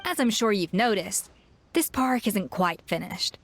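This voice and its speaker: A woman's voice: mid-range voice, animated, measured pace, background noise. A woman playing a proud, exacting young celebrity chef in a video game, confident and precise in her delivery. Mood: assured, explanatory. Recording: music behind.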